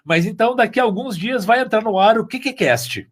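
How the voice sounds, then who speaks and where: A man's voice: high-pitched, lively intonation, fairly quick. A man chatting casually on a podcast, effusive and playful. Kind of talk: casual chat.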